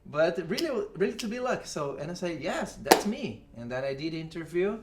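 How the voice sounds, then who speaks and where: A man in his forties from Brazil with a Brazilian Portuguese accent, chatting as an interview guest in halting English full of restarts. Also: on the high side, very animated, very noisy. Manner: halting non-native English with many restarts. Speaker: guest, audio engineer. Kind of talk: casual interview chat.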